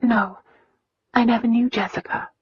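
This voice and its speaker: A reader voicing a gentle, earnest young woman who speaks softly and sincerely, at times in a whisper. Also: fairly high pitch, steady tone, normal speed, breathy edge, no room sound, voice pushed up. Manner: soft, sincere, sometimes whispering. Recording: dull lo-fi audiobook recording.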